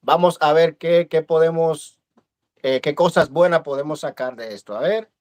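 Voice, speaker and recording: A man's voice: fairly high pitch, moderate pace, darkish tone. A man hosting a live video-call interview, friendly and hesitant, with many fillers. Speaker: host. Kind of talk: casual live-stream interview.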